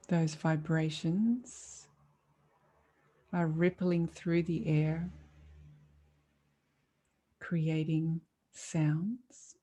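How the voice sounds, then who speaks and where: Female meditation teacher, soft mellow tone, unhurried and calm, long pauses between phrases. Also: sits low. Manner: calm, soothing, measured delivery with long pauses. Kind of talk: guided meditation.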